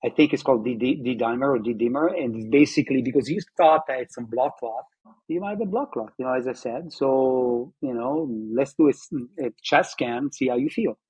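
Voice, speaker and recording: A man, telling his own story in an interview, reflective and halting with many fillers and restarts. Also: medium pitch, a little mellow, husky, highs cut off. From Italy. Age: 50s. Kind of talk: personal testimony interview.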